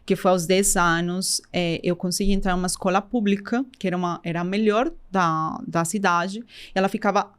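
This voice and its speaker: A woman's voice: on the low side, fairly even intonation, brisk, hardly any pauses, smooth. Interview guest: Colombian woman, middle-aged, heavy accent, reflective, stops and restarts her thoughts. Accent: Colombian Spanish. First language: Spanish. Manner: thoughtful, many restarts, mixes Spanish into Portuguese. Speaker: guest, company co-founder. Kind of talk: casual interview talk.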